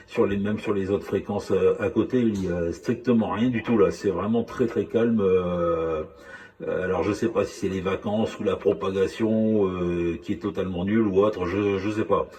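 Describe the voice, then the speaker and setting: A man in a casual radio chat as a hobby CB operator, speaking haltingly and often repeating words. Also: low voice, darkish tone.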